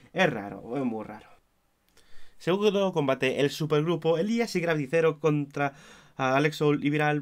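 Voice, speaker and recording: A hoarse young man giving an opinionated, self-mocking casual review, with his volume swinging between loud and mumbled. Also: mid-range voice. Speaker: wrestling show reviewer.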